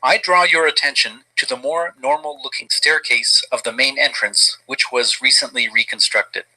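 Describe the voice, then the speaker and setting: A man reading scripted documentary narration in a flat, measured way. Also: medium pitch, rough recording.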